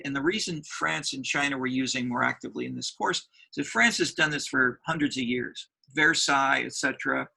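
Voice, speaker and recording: Gravelly, bright-toned male professor. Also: mid-range voice, poor audio. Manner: halting, many restarts and repeated words. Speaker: university professor. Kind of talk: lecturing a class.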